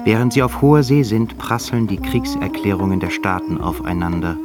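Deep voiced guy reading literary narration for a radio play, loud music behind him. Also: expressive, fairly quick.

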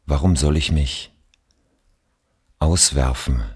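A man from Austria reading poetry aloud in a measured literary recitation, with long pauses between phrases and a breathy, husky voice. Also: a bass voice, darkish tone.

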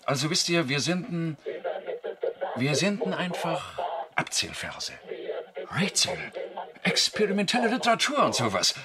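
A man's voice: fairly high pitch, big pitch swings, measured pace, pauses often, a loud crowd. A man playing an eager, inventive crew member in a radio drama, talking informally and coaxingly. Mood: inventive, playful. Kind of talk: German radio play acting.

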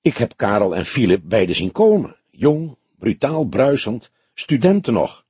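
Audiobook role: composed, frank older lady played by a male reader, soft-spoken, thoughtful, animated pitch, close dry recording. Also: slightly rough, no echo, full character voice. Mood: reflective, matter-of-fact. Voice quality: soft, friendly voice. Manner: measured, genteel, thoughtful. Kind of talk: audiobook character dialogue.

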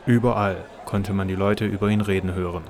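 Deep, even-voiced male narrator. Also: a little fast, a faint crowd, slight background noise. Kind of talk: scripted narration of a Bible audio drama.

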